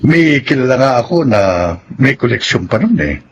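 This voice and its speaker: Animated male psychiatrist played by an actor. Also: mid-range voice, normal speed, lightly acted. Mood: measured, reasoning. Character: reassuring, reasonable male psychiatrist advising a couple. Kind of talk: Filipino radio drama acting. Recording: dull sound with faint noise.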